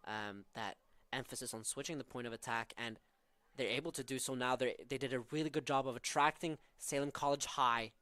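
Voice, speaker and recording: A man's voice: medium pitch, fairly even intonation, fairly quick, sharp tone, clean tone, dry recording. A young man from southern Norway with a Norwegian accent, calling live college soccer with tactical analysis and frequent fillers. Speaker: play-by-play commentator.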